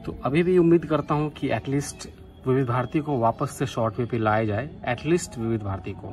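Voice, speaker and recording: A man's voice: mid-range voice, brisk, darkish tone, a little hiss or hum. A man from Bihar, India, talking casually and earnestly as a radio hobbyist making a vlog. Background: music from a radio.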